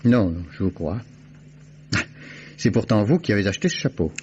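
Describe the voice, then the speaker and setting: Insistent gentleman sleuth, lowish pitch, polite and probing, vintage radio drama with faint hiss. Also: very animated, normal speed. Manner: probing, polite but pressing. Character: insistent, urbane man investigating to save a condemned man. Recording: dull, noisy old radio recording.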